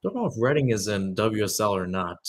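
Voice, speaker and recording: A man's voice: lowish voice, sing-song, a little fast. A young man giving live radio-style soccer commentary, mixing clipped calls of the play with conversational asides. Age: young adult.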